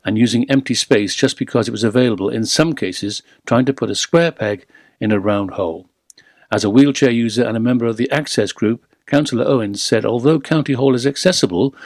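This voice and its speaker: A man's voice: medium pitch, darkish tone, no room sound. Male radio newsreader with dry studio sound. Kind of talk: scripted local radio news bulletin.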